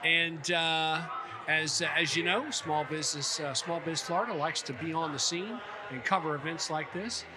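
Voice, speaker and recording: A man hosting an on-location interview, talking in a folksy, effusive and halting way. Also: fairly high pitch. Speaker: podcast host. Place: busy conference venue at a hotel. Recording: clear background noise on location.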